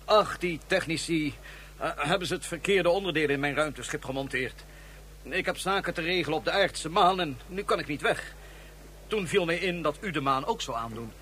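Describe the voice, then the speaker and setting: An actor playing a calculating male ruler who gives orders smoothly in a radio play. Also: fairly high pitch, normal speed, pushed and bright, slight background noise. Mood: probing. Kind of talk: 1970s radio drama acting.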